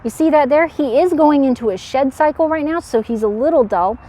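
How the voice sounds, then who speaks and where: A woman presenting as a park educator to children, with an animated manner, explaining and putting questions to young viewers. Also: sits high, fairly quick. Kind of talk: animated educational read-aloud and animal demonstration.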